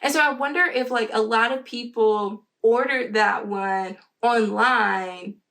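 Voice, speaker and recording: A woman's voice: fairly high pitch, a little slow, a bit bright. A woman vlogger giving a casual, chatty product review in a rambling, slangy, conversational way.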